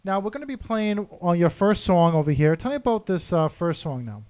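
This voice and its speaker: A man hosting a show in a studio, eager and quick to say yes, often halting with fillers. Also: sits high, big pitch swings, darkish tone. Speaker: host. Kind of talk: radio-style show hosting and promo read.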